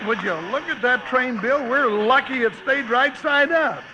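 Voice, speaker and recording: High-pitched folksy cowboy sidekick. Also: male voice, moderate pace, lo-fi sound, noisy background. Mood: concerned. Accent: American. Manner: folksy, colloquial. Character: loyal, folksy, excitable cowboy sidekick, good-natured man. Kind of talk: 1950s Western radio acting. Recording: old radio broadcast.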